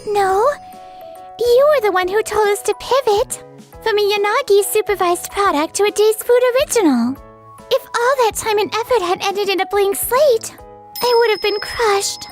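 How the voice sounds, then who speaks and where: A woman playing an excitable, grateful young female office worker in a comic dub, bubbly and earnest. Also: very high voice, moderate pace, soft background music. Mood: delighted, grateful. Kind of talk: anime-style comic dub acting.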